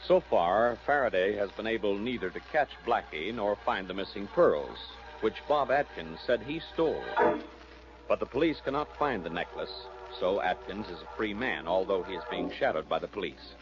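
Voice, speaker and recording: A man's voice: fairly low pitch, animated, normal speed, over music. A man as a radio announcer, reading scripted story narration in 1940s broadcast style. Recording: muffled old radio broadcast.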